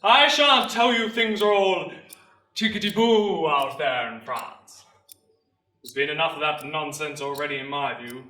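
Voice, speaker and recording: Man acting a booming, rousing recruiting sergeant major. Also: unhurried. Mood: stern, rousing. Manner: loud, declamatory oratory. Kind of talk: theatrical monologue acting. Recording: roomy, distant microphone.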